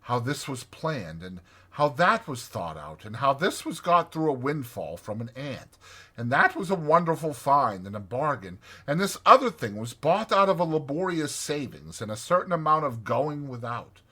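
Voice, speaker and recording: A man's voice: mid-range voice, very animated, normal speed, pushed and bright, husky. A man narrating a storybook as a dramatized audiobook.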